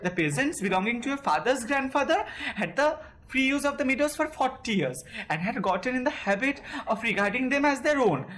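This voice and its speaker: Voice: sits high, sing-song, a little fast, hardly any pauses, fairly bright tone, slight background noise. A young student actor with an Indian accent playing a nervous, fussy landowner suitor in an amateur audio drama, stammering and formal. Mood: insistent. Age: young adult.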